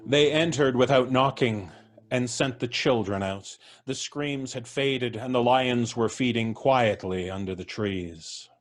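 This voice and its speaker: A man narrating a story in an even, storytelling manner at a live reading. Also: mid-range voice, unhurried. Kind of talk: scripted narration.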